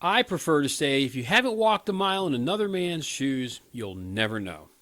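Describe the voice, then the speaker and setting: A man's voice: on the high side, very animated, moderate pace, fairly bright tone. An actor in a radio play, playing an earnest, principled younger man who works on a crisis hotline and speaks with moral sincerity. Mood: reproachful.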